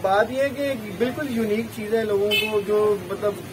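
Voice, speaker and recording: High-voiced middle-aged Pakistani man, noisy counter. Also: normal speed. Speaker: restaurant owner, interviewee. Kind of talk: casual interview answers. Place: open restaurant counter by a street. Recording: noisy street kitchen, traffic ambience.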